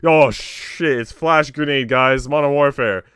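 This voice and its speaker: A man's voice: medium pitch, measured pace, a bit bright, smooth, no room sound, studio quality. A man livestreaming, talking in an informal, slangy, rambling way as he chats and reads a visual novel aloud. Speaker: streamer. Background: faint game music.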